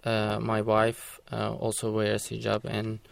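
Gravelly, hesitant man on radio. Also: lowish voice, monotone, takes it slowly, no room sound. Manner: hesitant, full of ums. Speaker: radio show guest. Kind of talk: call-in radio interview.

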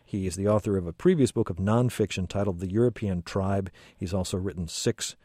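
A man's voice: low-pitched, a little fast, mellow, no room sound. A man hosting a radio call-in interview from a studio, reading an introduction and asking questions hesitantly, with many ums and restarts.

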